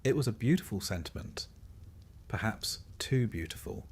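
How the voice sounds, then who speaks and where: A man with a low, noticeably husky voice reads a scripted history story meant for bedtime listening. His delivery is measured and literary, with a streak of dry irony. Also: animated. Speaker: narrator.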